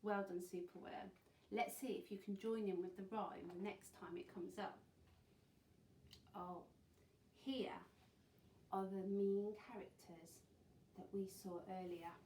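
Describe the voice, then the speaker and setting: A woman teacher reading a picture book to young children, expressive on story lines, with simple gentle asides and questions to her listeners. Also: mid-range voice, far from the mic, slight background noise. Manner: deliberate phrasing with questions to the listeners. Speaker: infant school teacher. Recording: roomy echo, voice away from mic.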